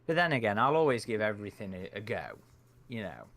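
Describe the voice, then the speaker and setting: A man's voice: on the low side, very animated, a little fast, fairly bright tone. A man, co-hosting a live game stream, talking in a thoughtful, hesitant way with many fillers. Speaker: stream co-host. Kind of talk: casual gaming chat.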